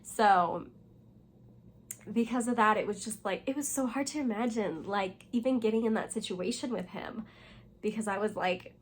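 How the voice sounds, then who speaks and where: A young woman with a hoarse voice, still recovering from losing it, chatting casually about books in a vlog and rambling with lots of fillers. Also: medium pitch, normal speed. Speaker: booktuber. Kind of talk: casual reading vlog chat.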